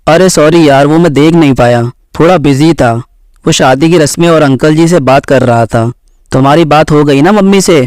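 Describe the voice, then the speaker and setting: A man's voice: a little high, moderate pace, darkish tone, no room sound, close to an everyday voice. A man voicing an obliging, easygoing young husband in a cartoon family story, polite and placating. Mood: apologetic.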